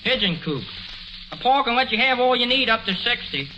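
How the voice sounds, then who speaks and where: High, piercing American country boy. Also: sing-song, a little fast, bright, forceful tone, exaggerated voice. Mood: matter-of-fact. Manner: blunt, folksy, literal. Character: plain-spoken, unbothered young country delivery boy. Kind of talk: 1940s radio comedy acting. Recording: noisy, muffled old radio recording.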